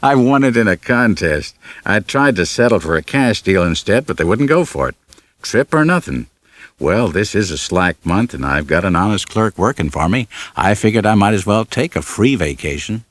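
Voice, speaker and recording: A man plays an earnest young store owner in an audiobook novel, in love but burdened by debts. He reasons things out in plain words with a low voice, recorded dry. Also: very animated, moderate pace, a bit bright, no room sound. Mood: easygoing.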